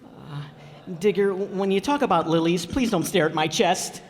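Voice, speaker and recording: A man's voice: sits high, normal speed. A man playing a cowardly, bumbling father who hesitates and stammers nervously, in old-time radio comedy style. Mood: uneasy. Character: cowardly, bumbling father, easily spooked everyman. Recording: echoey hall stage performance.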